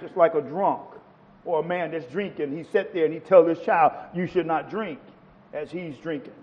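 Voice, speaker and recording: A man's voice: on the high side, moderate pace, darkish tone, long reverb tail, muffled recording. A man preaching a sermon to a congregation, quoting scripture with rising and falling emphasis. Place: church.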